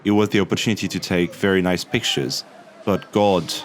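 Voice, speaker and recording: A young man telling about his travels in a relaxed, chatty way, with lots of small fillers. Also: deep voice, a little fast. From Morocco. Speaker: travel vlogger. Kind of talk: casual travel vlog narration.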